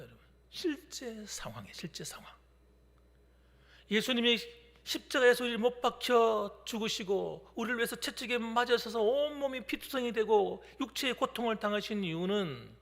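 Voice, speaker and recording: A male pastor in his fifties preaching a midweek sermon, shifting between loud declarations and hushed whispers. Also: high voice, gravelly. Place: church sanctuary during a midweek service. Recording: long hall reverb from a church.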